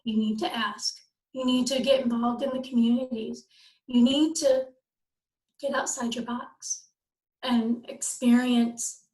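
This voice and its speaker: A woman with a fairly high voice gives plain-spoken advice on a panel. She is direct and emphatic, laying out instructions in short phrases. The recording is noticeably roomy and echoey. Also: darkish tone.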